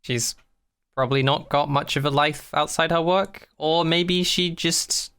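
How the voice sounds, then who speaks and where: A podcast co-host with a bright, mid-pitched voice. The delivery is casual and conversational, with a wry, jokey streak, as in a relaxed review of a sci-fi show. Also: unhurried, clean tone.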